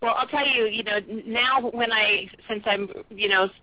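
A woman in her fifties interviewing on the radio, chatty and quick to laugh. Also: on the high side, steady tone. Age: 50s. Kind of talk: casual interview chat. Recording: dry tinny phone-line sound.

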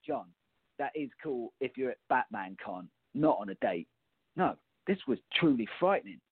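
A man's voice: mid-range voice, big pitch swings, moderate pace, slightly rough, no echo. A man playing a nervous, exasperated young bachelor in a farce radio play, flustered and sarcastic, on muffled, narrow-band audio. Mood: shaken, exasperated.

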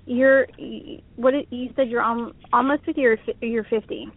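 A woman's voice: fairly high pitch, fairly even intonation, normal speed, dry recording. A woman in her forties chatting casually as co-host, rambling and self-deprecating, often laughing at herself. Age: 40s. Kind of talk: casual chat on internet radio. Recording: dry tinny phone line.

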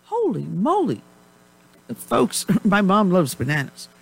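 A woman's voice: medium pitch, huge pitch swings, takes it slowly, gravelly, breathy edge. Chatty woman host losing her voice. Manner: chatty, rambling, laughs often. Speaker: radio talk show host. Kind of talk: casual talk radio chat.

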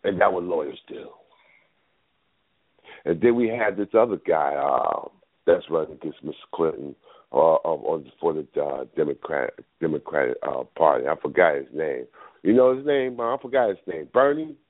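Deep-voiced male talk radio host, loose and rambling, a bit under the weather, heard over a phone line. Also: lively intonation, moderate pace, no echo. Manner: rambling, thinks aloud with many fillers. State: battling a cold. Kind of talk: casual call-in radio talk. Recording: tinny phone line, dry.

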